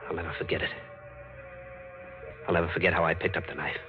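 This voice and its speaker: A vintage, muffled radio crime play with music under it. An actor plays a tough American ex-con with a low voice, telling his tale in clipped, slangy hardboiled style. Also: level tone, fast talker, natural voice. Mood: grim, haunted. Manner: terse slangy tough-guy talk. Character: tough wrongly accused ex-con telling his own story. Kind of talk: 1940s radio acting, hardboiled first-person narration.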